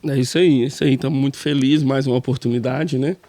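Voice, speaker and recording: Pastor co-hosting a church podcast. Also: male voice, medium pitch, steady tone, quick pace, warm tone, no room sound. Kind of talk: casual church podcast chat.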